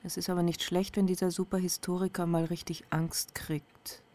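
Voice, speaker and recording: A woman's voice: lowish voice, level tone, normal speed, a little mellow, lightly acted. A woman playing a blunt, sceptical activist in a radio crime drama, terse, dry and insistent. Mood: cool, wry. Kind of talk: German radio crime drama acting, intimate dialogue.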